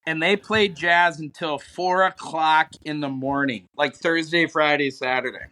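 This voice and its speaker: A man in his forties with a bright, slightly higher voice that has an edge to it. He chats loosely and throws in crude wisecracks. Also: fairly even intonation, unhurried. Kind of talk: casual chat.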